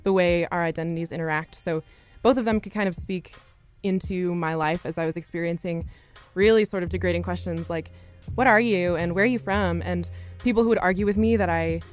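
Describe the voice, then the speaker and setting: Young female student guest, somewhat low voice, reflective and articulate conversational storytelling. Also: fairly quick, faint music behind. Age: young adult.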